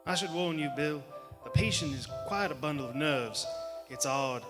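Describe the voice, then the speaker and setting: A mild, conciliatory doctor, played by a man, earnest and protective. He speaks softly and carefully in old radio-drama style, his voice ringing in a large theater. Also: a little high, normal speed, music in the background, close to an everyday voice. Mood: concerned. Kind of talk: 1940s radio drama acting. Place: large theater stage, live performance. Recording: live theater stage, long hall reverb.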